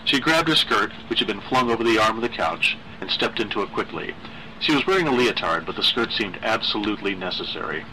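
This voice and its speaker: A man narrating a literary horror story in a measured, dramatic way. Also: fairly low pitch, expressive, pushed and bright, slight background noise, poor audio.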